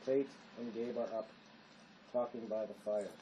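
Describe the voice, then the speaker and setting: Guy reading script narration, soft dark tone, mid pitch, bit of background noise. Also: fairly even intonation, normal speed, highs cut off. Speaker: narrator reading stage directions.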